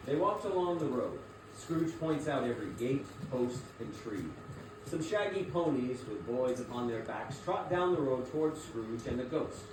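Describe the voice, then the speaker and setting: A man's voice: mid-range voice, noisy background. Male story narrator in echoing hall. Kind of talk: scripted dramatic story narration. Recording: very echoey hall, distant mic, music behind.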